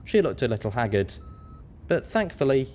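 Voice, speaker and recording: A man's voice: medium pitch, big pitch swings, moderate pace, a little mellow, a little hiss or hum. A man reading scripted first-person fiction as the narrator of an audio story.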